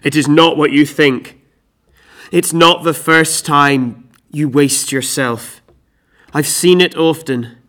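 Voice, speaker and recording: A man performs an exasperated husband from a classical tragedy. He scolds with loud, scornful force, lifting his voice above everyday talk in a theatrical monologue. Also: on the high side, steady tone, slow, voice pushed up. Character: exasperated, scornful husband Jason berating Medea.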